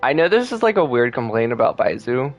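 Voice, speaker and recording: A man's voice: medium pitch, sing-song, brisk, faint music behind. A man doing casual livestream game commentary in an informal, joking way. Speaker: game streamer.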